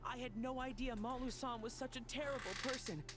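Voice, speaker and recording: Woman playing a nervous employee, slightly high, over music. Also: steady tone, brisk, close to an everyday voice. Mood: nervous, pleading. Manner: hesitant, pleading. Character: nervous, apologetic employee of a crook. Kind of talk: video game voice acting. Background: game music.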